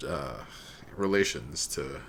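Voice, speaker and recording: A man giving casual, unscripted commentary as a reaction video host, halting as he thinks aloud. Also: a bass voice, fairly bright tone, a little hiss or hum.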